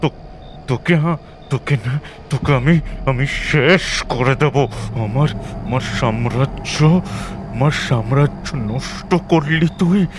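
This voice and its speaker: A man's voice: mid-range voice, slow pace, noisy background, natural voice. A man acting a middle-aged, ruthless tycoon in an audio thriller, broken and pleading, stammering and repeating himself in desperation. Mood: desperate rage. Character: ruthless tycoon, broken, panicked and pleading before his accuser.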